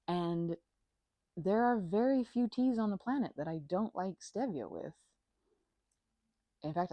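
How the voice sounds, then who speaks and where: Low, husky woman rambling playfully. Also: mellow, no room sound. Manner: rambling, playful, tangent-prone. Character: chatty, scatterbrained female best friend hosting tea. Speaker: ASMR roleplay performer. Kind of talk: ASMR roleplay, improvised casual chat.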